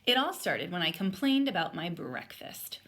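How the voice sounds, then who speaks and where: A woman voicing an indignant young boy bear who narrates a children's storybook in an emphatic, expressive way. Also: fairly low pitch, normal speed. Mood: dramatic, eager. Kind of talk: animated children's story read-aloud.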